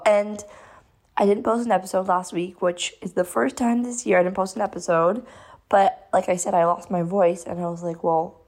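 Hoarse, gravelly-voiced woman podcast host. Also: mid-range voice, a little mellow. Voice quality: gravelly, strained. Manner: bubbly, effusive. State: hoarse, lost voice. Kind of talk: casual podcast monologue.

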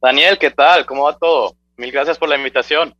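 Guest: man, startup founder, bright tense voice, dry sound, lively and chatty. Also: fairly high pitch, fairly quick, dry recording, dull audio. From Germany. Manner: friendly, animated, many fillers and restarts. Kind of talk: radio interview, casual conversational answers.

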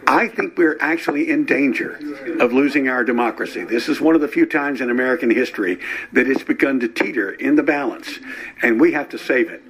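An older man speaks as a state legislator in an interview. His pitch is average, and he makes his points in emphatic, declarative statements. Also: fairly quick, fairly bright tone, poor audio. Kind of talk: interview answer.

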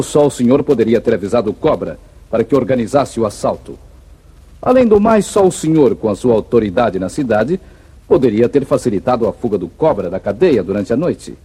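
Righteous Brazilian gunfighter hero, very husky, soft dark tone, deliberate declamation, 1950s radio drama sound. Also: male voice, medium pitch, lively intonation. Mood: accusing. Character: heroic male gunfighter of the backlands, calm, righteous, fearless. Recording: muffled vintage 1950s radio recording.

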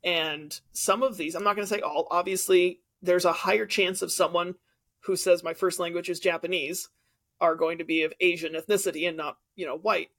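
Male podcast co-host with a high voice who argues his case with examples. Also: fairly even intonation, moderate pace, a bit bright. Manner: argumentative, explains with examples. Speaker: teacher. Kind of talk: casual debate chat.

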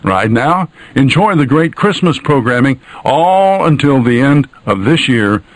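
A man's voice: medium pitch, lively intonation, unhurried, no room sound, rough recording. Dry, close male radio announcer. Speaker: radio station founder and announcer.

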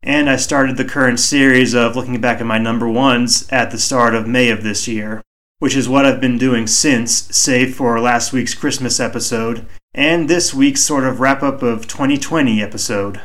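A man hosting a solo hobby podcast, speaking in a measured, reflective way with a soothing voice, recorded on a home microphone. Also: medium pitch, fairly even intonation, fairly bright tone. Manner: measured, reflective, deliberately slow. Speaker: podcast host.

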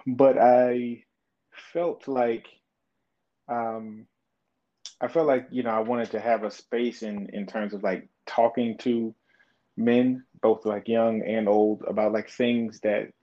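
A man's voice: mid-range voice, steady tone, takes it slowly. Man in his twenties, podcast guest, thoughtful hesitant talk, lo-fi dull audio. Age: 20s. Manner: thoughtful, hesitant with many fillers. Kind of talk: casual interview chat.

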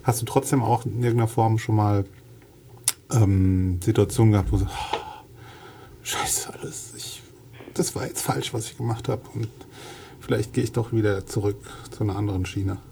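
A man's voice: lowish voice, steady tone, normal speed, gravelly, background noise. A man hosting a casual talk show, asking questions in a hesitant way and repeating words while he searches for them. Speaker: podcast host. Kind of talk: casual interview chat.

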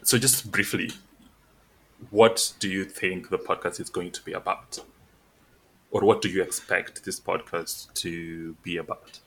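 A man co-hosting a tech podcast who speaks hesitantly, with frequent pauses. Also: low voice, fairly even intonation, vocal fry, fairly bright tone, husky, low-quality recording. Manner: hesitant, many "uh" and "kind of" fillers. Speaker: podcast co-host. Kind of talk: casual chat.

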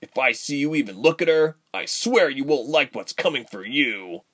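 A man's voice: mid-range voice, animated, normal speed, fairly bright tone, voice pushed up. A man voicing a hot-tempered, protective young man in a story, with an intense, threatening manner.

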